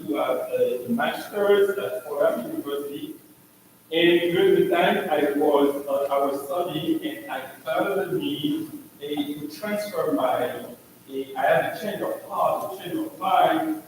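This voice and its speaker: A Haitian-accented priest, middle-aged, addresses his church in a slow, halting way, his pitch rising high and swinging widely. He sounds far from the mic, with a long echo filling the large hall. Faint room noise sits behind him. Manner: slow, halting, with many restarts and fillers. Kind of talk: introducing himself to the congregation.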